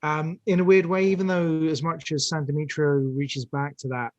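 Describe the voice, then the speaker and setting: A man's voice: fairly high pitch, flat delivery, moderate pace, dry recording. A British man talking as an interview guest, enthusiastic but searching for his phrases as he goes. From United Kingdom. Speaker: interview guest, audio drama director. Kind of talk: conversational interview.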